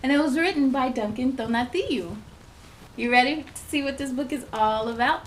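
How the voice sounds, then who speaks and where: A high-pitched woman's voice hosts a children's storytime. She reads from a picture book in an animated manner, talking straight to her young listeners. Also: normal speed, slight background noise. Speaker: storytime host reading a picture book aloud. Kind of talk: children's read-aloud with asides.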